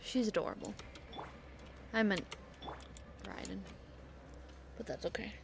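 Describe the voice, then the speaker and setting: Slow-talking woman streaming a game. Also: mid-range voice, lively intonation, gravelly, music in the background. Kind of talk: casual gameplay chat, rambling asides.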